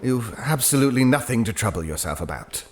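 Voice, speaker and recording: Hesitant, courteous British gentleman storyteller, played by an actor. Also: mid-range voice, very animated, slight background noise, lightly acted. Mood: reassuring. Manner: halting, conversational, courteous. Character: hesitant, reassuring gentleman narrating an eerie tale. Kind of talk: audio drama ghost story narration.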